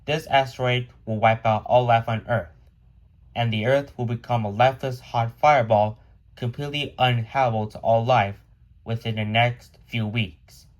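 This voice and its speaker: A man's voice: mid-range voice, steady tone, moderate pace, natural voice. A man playing a grave broadcast announcer, delivering a scripted doomsday message in a solemn, formal manner. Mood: grave, urgent. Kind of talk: scripted emergency broadcast narration.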